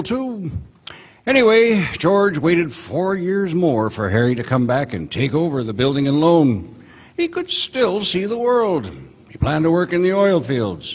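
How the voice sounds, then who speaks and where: Husky male radio narrator. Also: a little high. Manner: storytelling, explanatory. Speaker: narrator speaking as a heavenly guide. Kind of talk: live radio drama narration. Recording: theater stage with long reverb.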